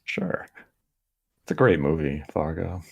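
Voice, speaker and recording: A soft-spoken man co-hosting a podcast, reading viewer comments aloud in casual chat. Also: rumbling low, sing-song, a little slow, vocal fry, husky, dry recording. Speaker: podcast co-host.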